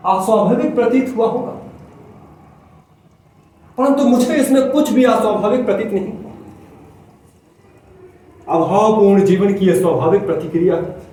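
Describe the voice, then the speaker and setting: Echoey, high-voiced anguished poet played by a man. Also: dark tone, a little hiss or hum, lightly acted. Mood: earnest, confessing. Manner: deliberate, formal literary diction with dramatic repetition. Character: anguished, broken young male poet confessing to his lost beloved. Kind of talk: theatrical stage monologue. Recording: very echoey room, distant microphone.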